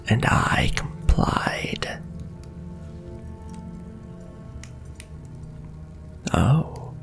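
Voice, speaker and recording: Man playing a low, whispering, seductive warlock villain. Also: heavily acted. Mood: smug teasing. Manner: slow, teasing, breathy half-whispered delivery. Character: sly, sadistic, seductive male warlock villain. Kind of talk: flirtatious ASMR fantasy roleplay.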